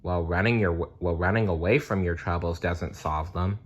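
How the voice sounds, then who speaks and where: A man reading a children's story aloud in a deliberate, storytelling manner. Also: low voice, dull audio, slight background noise.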